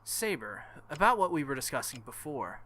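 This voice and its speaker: A man's voice: mid-range voice, big pitch swings, moderate pace, bright, forceful tone, a little hiss or hum. A man voicing a stubborn, protective, hot-headed young man from a visual novel. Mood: earnest, tense.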